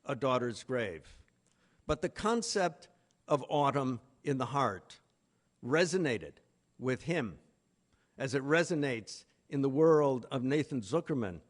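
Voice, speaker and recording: A man giving a literary lecture in a deliberate, emphatic manner with pauses between phrases. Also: mid-range voice, animated. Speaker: conference speaker, literary lecturer. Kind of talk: literary lecture with read-out quotations.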